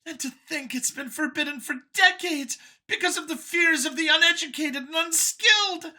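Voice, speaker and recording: A woman's voice: sits high, normal speed, bright, forceful tone, voice pushed up. A woman voicing a nervous, boastful female alien scientist from an audio story, whiny and gushing as she justifies her elitist views. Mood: fervent, self-righteous. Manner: gushing, over-eager justifying.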